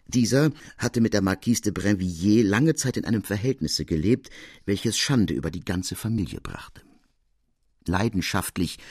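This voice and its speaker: A man narrating a literary audiobook in a measured, expressive, dramatic manner with deliberate pauses. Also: lowish voice, slightly rough, no room sound, studio quality.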